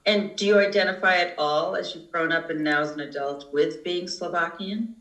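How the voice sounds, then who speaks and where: A man interviewing, asking procedural questions in a calm, brief manner. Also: sits high, moderate pace, reverberant, rough recording.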